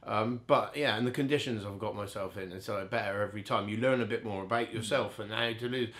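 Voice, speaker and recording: Middle aged bloke swapping stories, jokey and candid, takes the piss out of himself. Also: male voice, fairly low pitch, fast talker, a bit bright. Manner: candid, jokey, self-deprecating. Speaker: bodybuilder telling stories as interview guest. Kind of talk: casual anecdotal storytelling.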